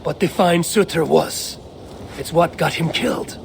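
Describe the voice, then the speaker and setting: An actor playing a determined, persuasive god in a video game, speaking in a measured, formal, firm way. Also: male voice, on the high side, gravelly. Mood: firm, challenging. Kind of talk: video game cutscene acting. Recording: game audio under noisy ambience.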